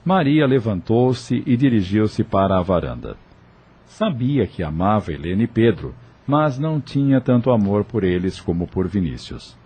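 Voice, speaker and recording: A man's voice: fairly low pitch, sing-song, normal speed, a little mellow, breathy edge. A man narrating a dramatic audio novel as a scripted storyteller. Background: background music.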